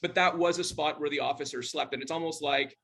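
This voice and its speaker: A man's voice: fairly high pitch, steady tone, quick pace, creaky, bright, forceful tone. A middle-aged man, casually telling a personal story on a video call. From Montreal, Canada. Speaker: club member telling a personal ghost story.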